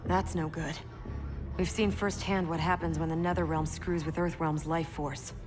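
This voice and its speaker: A woman's voice: low voice, steady tone, moderate pace, close to an everyday voice. An actress playing a brash young woman soldier who talks bluntly and casually. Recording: game cutscene over music.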